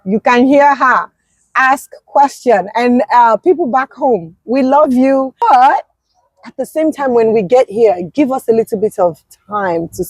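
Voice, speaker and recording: A woman's voice: fairly high pitch, measured pace, husky, dry recording. A Nigerian woman with a Nigerian accent hosting an interview, affirming her guest with frequent murmured agreement. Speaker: podcast host. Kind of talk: conversational interview.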